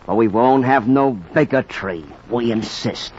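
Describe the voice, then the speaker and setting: A man's voice: mid-range voice, unhurried, a little mellow, close to an everyday voice. A man playing a slangy American baseball fan from Brooklyn, spinning a rhymed tale in a theatrical 1940s radio drama. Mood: satisfied, knowing. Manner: colloquial, theatrical yarn-spinning. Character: slangy streetwise male Brooklyn bleacher fan telling a tale. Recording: muffled, noisy 1940s radio recording.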